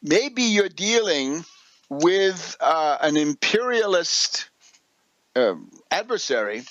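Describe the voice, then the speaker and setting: A man's voice: on the high side, big pitch swings, slow pace, fairly bright tone, no echo, lo-fi sound. An American man hosting a radio commentary, reading out and remarking on material in an emphatic, opinionated and sardonic manner. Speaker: radio show host and political commentator. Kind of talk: political commentary, partly reading articles aloud with asides.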